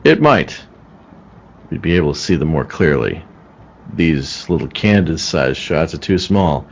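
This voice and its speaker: Man playing grave police detective, low voice, radio-play delivery, deliberate, dull lo-fi sound. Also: big pitch swings, gravelly, full character voice. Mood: baffled, brooding. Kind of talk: old-time radio play acting. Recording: dull, lo-fi call audio.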